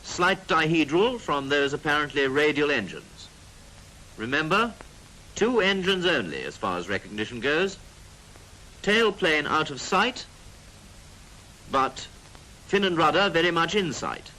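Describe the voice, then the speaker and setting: A man's voice: a little high, animated, measured pace, long pauses, sharp tone. A British man narrating a 1940s military training film in a clipped, instructive manner with drily jocular asides. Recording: noisy 1940s film soundtrack.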